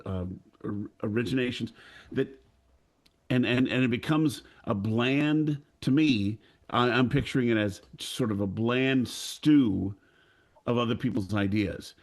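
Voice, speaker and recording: A man speaking as a performer on a discussion panel, emphatic but halting as he searches for words. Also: mid-range voice.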